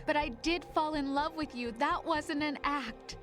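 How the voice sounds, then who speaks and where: An actress playing an emotional young woman in a game cutscene, soft and pleading. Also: sits high, unhurried, soft background music. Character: young woman confessing love, tender and emotional. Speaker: game character. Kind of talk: video game cutscene voice acting.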